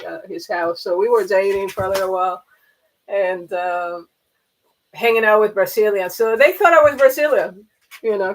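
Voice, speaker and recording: A woman's voice: medium pitch, low-quality recording. Chatty woman. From Panama. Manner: rambling, chatty, many fillers and restarts. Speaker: livestream host. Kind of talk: casual chat.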